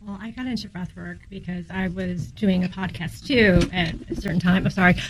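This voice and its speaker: A woman interview guest explaining her work informally, in halting conversational phrases. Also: on the low side, fairly even intonation, slight background noise, poor audio. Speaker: interview guest, breathwork coach.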